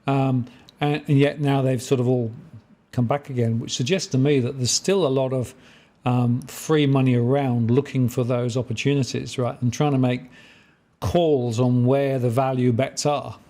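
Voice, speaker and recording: A man with a mellow, relaxed voice at an average pitch hosts a market discussion. He speaks conversationally, as an interviewer setting up a question. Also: moderate pace. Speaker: interviewer, host.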